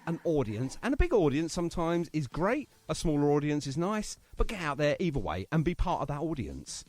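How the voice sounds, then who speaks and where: A man's voice: fairly high pitch, very animated, brisk, a little mellow. A British man hosting a casual radio interview, chatty and jokey, often exclaiming. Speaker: radio show host.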